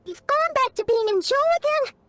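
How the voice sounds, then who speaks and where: A woman voicing a tiny, childlike fairy sidekick in a video game, excitable, naive and quick to exclaim. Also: very noisy. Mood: puzzled. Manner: squeaky, exclamatory, speaks of herself in third person.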